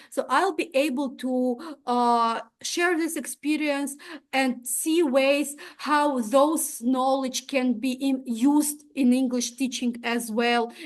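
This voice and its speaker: High-voiced young woman from Tajikistan. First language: Russian. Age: young adult. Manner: explains step by step, frequent "uh" and "right?" checks. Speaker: presenter of an exchange program application. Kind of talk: instructional walkthrough presentation.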